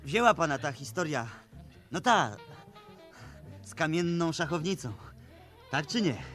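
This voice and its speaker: A cheeky, protective boy in a vintage seventies radio play. The voice is high and very animated, fussing eagerly. Faint music sometimes sits behind it. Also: measured pace. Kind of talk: 1970s radio play acting.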